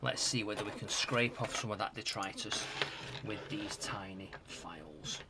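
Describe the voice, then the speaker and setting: A middle-aged British man giving casual hands-on repair commentary, musing aloud in a halting, hesitant way. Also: fairly low pitch, very noisy. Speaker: host of a retro tech repair channel. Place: home workshop loft.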